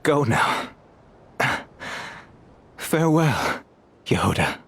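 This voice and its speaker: Weary, slow-spoken ailing man. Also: medium pitch, sing-song, gravelly, slightly rough, full character voice. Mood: fond, resigned. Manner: halting, gentle, resigned. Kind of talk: video game voice acting. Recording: studio voice over game music. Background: background music.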